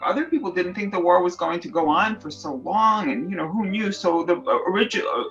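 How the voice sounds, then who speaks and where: A middle-aged woman speaks slowly and reflectively, restarting sentences as she looks for the right words. Her voice comes through a dull, lo-fi recording with faint music underneath. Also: mid-range voice, fairly bright tone. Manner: thoughtful, searching for words with many fillers and restarts. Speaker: artist and illustrator guest. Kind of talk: reflective interview answers.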